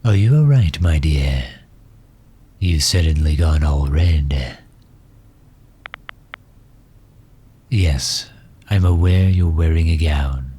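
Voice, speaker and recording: Man acting a very deep, husky, doting villain. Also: lively intonation, dark tone, exaggerated voice. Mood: puzzled, awkward. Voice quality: husky, breathy low voice. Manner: formal, solicitous, unhurried. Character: doting megalomaniac villain, formal, gently tending injured nemesis. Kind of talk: ASMR roleplay, intimate acting.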